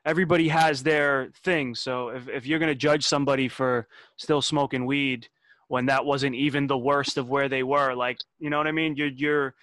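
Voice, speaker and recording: A man's voice: mid-range voice, fairly even intonation, normal speed, fairly bright tone, no echo, poor audio. A man in his twenties talking casually on a podcast, laid-back, swearing and restarting his sentences. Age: 20s. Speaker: podcast host.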